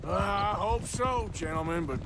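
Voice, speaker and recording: A man's voice: high voice, normal speed, creaky, background noise. An actor playing a nervous, grumbling American outlaw in a western game, hesitant and uneasy in his delivery. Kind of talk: dramatic cinematic game acting.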